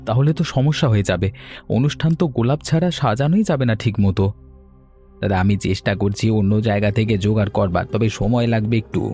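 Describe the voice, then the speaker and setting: A man's voice: fairly low pitch, fast, dark tone, faint music behind, lightly acted. A man voicing friendly, courteous village men in a moral-story cartoon, speaking earnestly. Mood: concerned. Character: friendly village men, a nursery seller and his customer.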